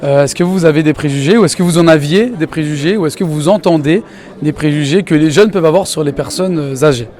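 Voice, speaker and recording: A man's voice: fairly high pitch, fast, a little mellow. A man interviewing people in a casual, conversational way. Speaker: interviewer at an event. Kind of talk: casual street-style interview. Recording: outdoor event with crowd chatter behind.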